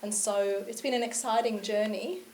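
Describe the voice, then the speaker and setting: A woman's voice: medium pitch, steady tone, moderate pace, darkish tone. South African woman, echoey with faint hiss. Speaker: business consultant guest. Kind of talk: casual interview chat.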